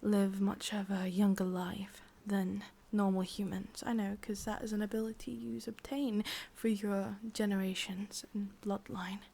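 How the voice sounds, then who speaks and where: A woman with an English accent voicing a possessive, sadistic witch in a slow, breathy ASMR roleplay whisper. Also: mid-range voice, little pitch movement, dark tone. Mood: smug, teasing. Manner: slow, intimate, teasing. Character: sadistic, possessive witch, softly menacing and doting.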